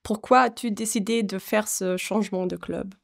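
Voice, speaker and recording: A woman's voice: medium pitch, fairly even intonation, moderate pace, creaky. A woman interviewing a footballer, reading out prepared questions. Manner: reads prepared questions, friendly laughs.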